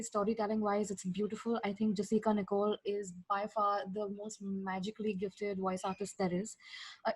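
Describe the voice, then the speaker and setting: A woman's voice: medium pitch, breathy edge, no echo, poor audio. A woman, chatting casually and effusively as a podcast guest giving recommendations. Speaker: guest, fiction podcast creator. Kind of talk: casual enthusiastic recommendation chat.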